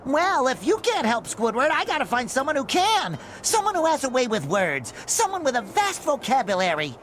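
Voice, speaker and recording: A man voicing a cheerful, naive young sea sponge hero in a cartoon game, with a squeaky nasal voice and an earnest, excitable manner. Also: normal speed, faint music behind, exaggerated voice. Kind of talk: animated cartoon game voice acting.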